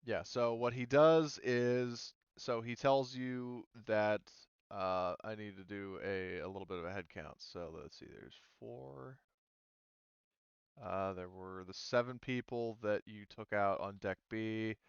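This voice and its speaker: A man running a tabletop roleplaying game as game master, talking haltingly and thinking aloud as he goes. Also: lowish voice, clear, resonant tone. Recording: roomy, slightly muffled microphone.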